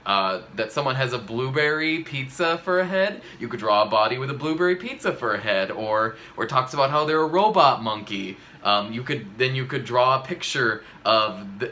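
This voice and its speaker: A man's voice: mid-range voice, sharp tone, slight background noise. A man hosting a children's read-aloud, explaining things in an informal, casual way. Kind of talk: casual instructional chat.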